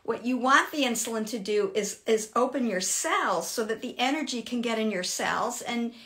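A woman in her sixties answering fitness questions in a chatty, animated way during a casual live Q&A from a home room. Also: fairly high pitch, normal speed. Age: 60s. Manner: chatty, animated, laughs often. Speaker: fitness host. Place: home room with shelves, indoors.

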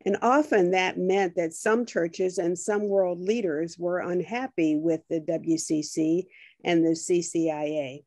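A woman's voice: lowish voice, steady tone, a little slow, darkish tone, no room sound, studio quality. A woman moderating a webinar panel on a video call, reading out prepared questions.

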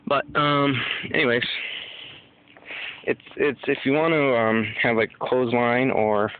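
A man giving a casual, unscripted demonstration, thinking aloud in a hesitant, halting way, recorded outdoors on a handheld camera. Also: medium pitch, narrow, tinny audio. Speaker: survival hobbyist demonstrating knots. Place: outdoors under trees at picnic table. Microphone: handheld camera held by the speaker. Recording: noisy outdoor handheld camera, clicks and rustle.

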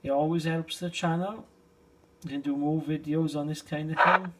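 A middle-aged British man giving a casual, unhurried and low-key hobbyist demonstration in a conversational way. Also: fairly even intonation, heavy background noise. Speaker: hobbyist video host. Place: small indoor space, shed.

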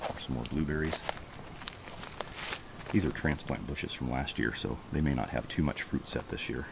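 A man giving a casual walking tour of his backyard garden outdoors, chatty and relaxed as he points things out on a handheld camera. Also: rumbling low, normal speed, vocal fry, heavy background noise. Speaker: homestead vlogger. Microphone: handheld camera held while walking.

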